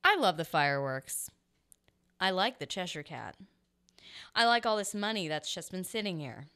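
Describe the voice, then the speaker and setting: A sultry noir thief, acted by a woman, with a lowish voice. She is playful, flirtatious and teasing, in radio-drama style. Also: lively intonation, moderate pace, bright, forceful tone, smooth.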